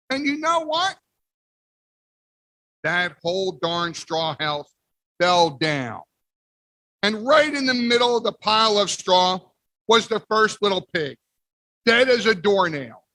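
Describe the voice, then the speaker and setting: A man reading a picture book aloud as the wolf, playful with big pauses. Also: high-pitched, bright, forceful tone, dry recording. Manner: playful, exaggerated sneezes and storyteller pauses. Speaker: guest reader. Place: library event.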